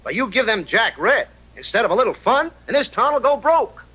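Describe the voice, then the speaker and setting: A man's voice: very high-pitched, big pitch swings, fairly quick, bright, forceful tone, heavily acted. An actor playing a self-interested saloon owner in an old radio western, arguing and pressing his case. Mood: insistent arguing. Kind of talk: 1950s radio drama acting.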